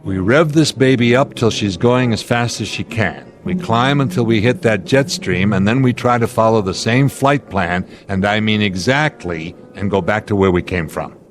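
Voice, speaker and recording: An American actor playing a calm, reasoning middle-aged airline captain in an audio drama, speaking in a deliberate, authoritative, explanatory way. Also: male voice, on the low side, music in the background, close to an everyday voice. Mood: grim, resolute.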